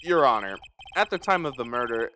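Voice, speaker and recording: Man voicing a flustered young rookie defense lawyer, animated. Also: medium pitch, a little fast, a little hiss or hum. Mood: earnest. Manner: stammering, exclamatory. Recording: music behind the voice.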